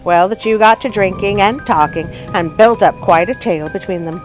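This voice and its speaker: A woman hosting a story show, telling tales in an expressive, folksy, animated way to her listeners. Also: mid-range voice, moderate pace. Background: music.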